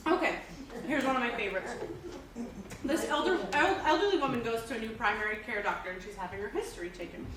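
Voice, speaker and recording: A woman reading the stage role of a chatty, teasing friend who loves telling jokes, in an animated storytelling way. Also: on the high side, a little hiss or hum. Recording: very echoey room, distant mic.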